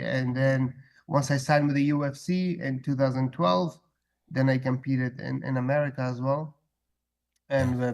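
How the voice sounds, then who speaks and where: Flat-toned Afghan-born coach, man. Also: mid-range voice, gravelly. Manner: steady, plain, pauses with fillers. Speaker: coach and interpreter. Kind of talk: casual interview answers.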